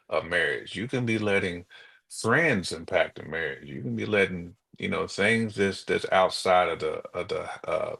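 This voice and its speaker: A man's voice: low-pitched, creaky, pushed and bright. A man co-hosting a podcast in casual conversation, reasoning aloud and often repeating words as he goes. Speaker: podcast co-host.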